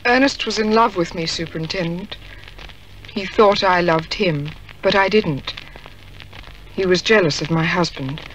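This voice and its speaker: Genteel widow, bright tense tone, anguished and dramatic, faintly hissy vintage radio. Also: female voice, fairly low pitch, measured pace, rough recording. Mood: confessing. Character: distressed, genteel widow of a murdered man. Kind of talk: 1950s radio drama acting.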